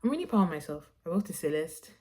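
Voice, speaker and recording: A woman's voice: deep voice, big pitch swings, fast, warm tone. A young woman talking candidly and self-assuredly in a casual, reflective, rambling personal video diary. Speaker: vlogger and visual artist.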